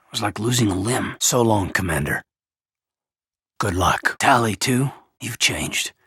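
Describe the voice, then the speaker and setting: A man playing an earnest, loyal and conflicted space marine officer in a video game, with a husky voice and a sincere military manner. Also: sits low, a bit bright, no echo, clean studio sound, full character voice. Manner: sincere, military, clipped and intense. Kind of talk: video game voice acting, dramatic dialogue.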